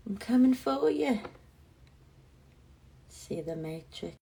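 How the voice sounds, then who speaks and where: A woman's voice: fairly high pitch, big pitch swings, takes it slowly, gravelly, mellow, husky. A young woman hosting a live talk show over a video call, bubbly and playful, laughing often. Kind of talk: casual live interview chat.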